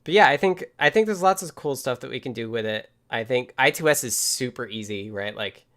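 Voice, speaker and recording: A man's voice: medium pitch, animated, brisk, fairly bright tone. Casual man hosting a technical stream. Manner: informal, thinking aloud. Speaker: livestream host.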